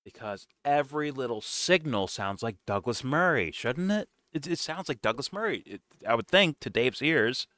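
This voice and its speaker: Dry, bright-voiced man in his twenties. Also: mid-range voice, normal speed, hardly any pauses, no echo. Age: 20s. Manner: animated, swearing, laughing often. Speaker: reaction show host. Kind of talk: casual reaction commentary.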